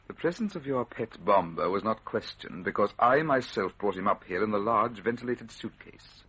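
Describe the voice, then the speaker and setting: Radio villain: low, forceful, husky; a pompous, commanding schemer with a respectable front. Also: male voice, lively intonation, moderate pace, poor audio. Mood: smug. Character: smooth-talking villainous mastermind posing as a respectable scientist. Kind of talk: old-time radio drama acting.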